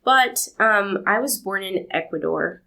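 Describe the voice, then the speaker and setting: A woman's voice: medium pitch, lively intonation, measured pace, vocal fry. A young woman from Argentina, answering interview questions in a rambling, storytelling way. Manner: warm, rambling storytelling with laughs. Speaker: podcast guest.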